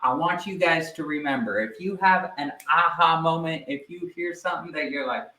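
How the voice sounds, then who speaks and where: A man giving a live online sales training, energetic and speaking directly to viewers, often checking in with "right?". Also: fairly high pitch, moderate pace, roomy sound, low-quality recording.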